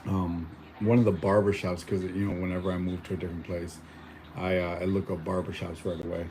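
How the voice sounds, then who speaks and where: Low, mellow-voiced man chatting casually. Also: brisk, a little hiss or hum. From Mexico. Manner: rambling, relaxed, many fillers and restarts. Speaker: vlogger. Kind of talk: casual chat.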